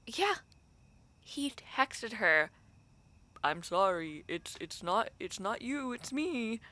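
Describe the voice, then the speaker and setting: A woman's voice: fairly high pitch, very animated, bright, forceful tone, full character voice. A young woman playing a gossipy, teasing university dormmate and close friend, chatting casually to the listener in an audio roleplay. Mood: gossipy, exasperated. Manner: conversational, gossipy, pausing with filler words.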